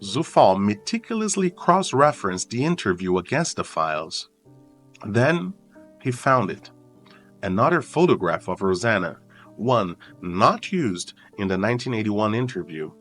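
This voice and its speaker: A man with a fairly low voice narrates a true crime documentary. His delivery is solemn and suspenseful, moving up and down expressively and leaning on deliberate pauses. Soft music plays behind him. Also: sing-song. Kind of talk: scripted dramatic documentary narration. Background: background music.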